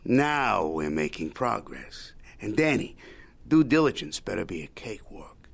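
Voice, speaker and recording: An actor playing a shrewd, folksy old media mogul in an audio drama, haggling in a wry, needling, Yiddish-peppered way. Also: male voice, fairly low pitch, very animated, unhurried, gravelly, heavily acted. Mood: coaxing, sly. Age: older.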